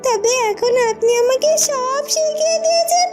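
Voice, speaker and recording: A woman's voice: very high-pitched, normal speed, a little mellow, faint music behind. A woman voicing a naive, whiny, simple-minded young village wife in a cartoon. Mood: grateful, sweet. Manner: childlike, coy, whining. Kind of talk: Bengali cartoon voice acting.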